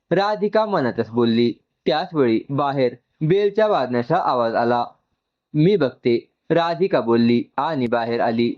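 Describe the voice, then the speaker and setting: A man's voice: mid-range voice, dull audio. A man reading an emotional story as a scripted narration.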